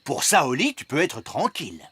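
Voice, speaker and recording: A man's voice: fairly high pitch, huge pitch swings, moderate pace, noisy background. Swooping, pompous fairy king dad. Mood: reassuring, explanatory. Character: fairy king father, genial, pompous, slightly muddled adult male. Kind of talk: children's cartoon dubbing.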